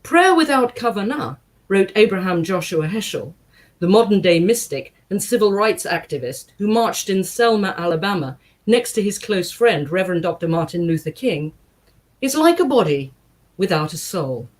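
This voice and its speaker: A woman reading aloud to a congregation in an expressive style, with measured, deliberate phrasing and emphatic pauses. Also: medium pitch. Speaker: guest reader at a synagogue service. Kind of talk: expressive book reading with short spoken introduction.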